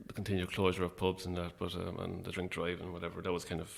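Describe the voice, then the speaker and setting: A man's voice: low-pitched, monotone, fast talker, gravelly. A middle-aged Irish man chatting casually and modestly as an interview guest about his work. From Ireland. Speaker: guest, playwright and actor.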